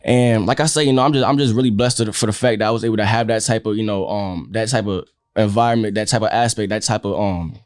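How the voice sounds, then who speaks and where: A man's voice: on the low side, steady tone, fast talker, hardly any pauses, no echo, studio quality. A young man from Connecticut, a rapper guest in a casual interview, stammering and repeating "you feel me" as he talks.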